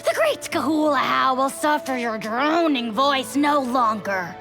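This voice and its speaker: Pompous, creaky, high-pitched little dragon spirit. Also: female voice, sing-song, a little slow, full character voice. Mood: haughty scorn. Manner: pompous, scornful, theatrical. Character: haughty, malicious little dragon spirit with grand airs. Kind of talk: video game character acting. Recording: game music behind the voice.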